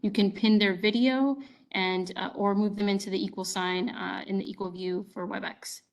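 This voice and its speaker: A woman reads the opening practical announcements for a public meeting, covering interpreters and captioning. She is informational and slightly halting, with fillers. Her pitch is average. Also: fairly even intonation. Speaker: meeting staff making announcements.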